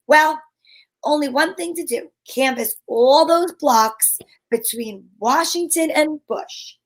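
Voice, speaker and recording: Woman, high voice, wisecracking sleuth, old-time radio play, brisk first-person narration. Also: poor audio. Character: wisecracking female amateur sleuth.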